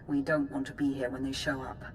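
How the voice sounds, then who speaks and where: Low-voiced, cool officer. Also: female voice, little pitch movement, fairly quick, background noise. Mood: cool, pragmatic. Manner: businesslike, dry. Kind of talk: video game acting.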